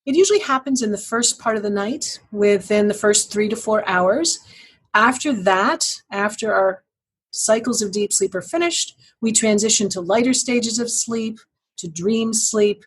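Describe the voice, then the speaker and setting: A woman guest giving articulate, measured explanations as a sleep clinician. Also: mid-range voice, breathy edge, rough recording. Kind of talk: explanatory interview answers.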